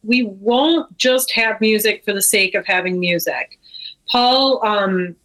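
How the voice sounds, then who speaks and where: A woman's voice: mid-range voice, takes it slowly, fairly bright tone, poor audio. A woman with an American accent chatting casually as a guest in an interview. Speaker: brewery owner, podcast guest.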